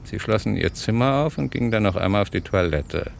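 Gravelly, low-voiced smug blackmailer. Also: male voice, animated, a little fast. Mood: smug, gloating. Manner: smug, insistent, coolly taunting. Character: cunning, smug male blackmailer and hotel thief. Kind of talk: 1960s German radio crime drama acting. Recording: noisy old radio drama recording.

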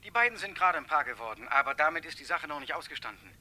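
A man's voice: on the high side, expressive, very fast, sharp tone. An actor playing a serious, authoritative police detective in a crime radio play, firm and businesslike as he gives orders. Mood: urgent, firm.

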